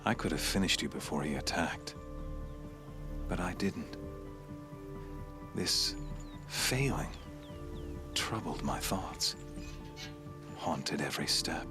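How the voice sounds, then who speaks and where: An actor playing a smug, fanatical cult killer in a video game, speaking in a grandiose, coldly menacing, sermon-like way. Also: male voice, very deep voice, expressive, slow pace, pauses often, heavily acted. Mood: cold gloating. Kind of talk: theatrical video game villain monologue. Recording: game dialogue over clear background music.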